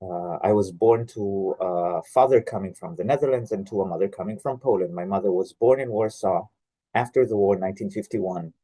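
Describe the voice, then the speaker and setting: A man from Israel, a genealogy researcher, giving an online presentation with many pauses and fillers. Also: low voice, dark tone, no echo. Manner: hesitant, many "uh" fillers and restarts. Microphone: video call.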